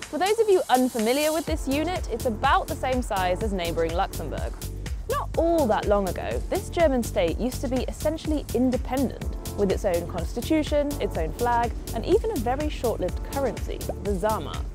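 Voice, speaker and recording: Lively woman presenting a travel show. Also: a little high, normal speed, music in the background. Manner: lively, upbeat storytelling. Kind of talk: scripted presenting to camera. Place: outdoors in town streets and vineyards.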